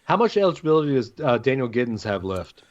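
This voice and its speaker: A man's voice: mid-range voice, big pitch swings, fast talker, muffled recording. A man co-hosting a sports talk show, giving his opinions and putting questions in a conversational way.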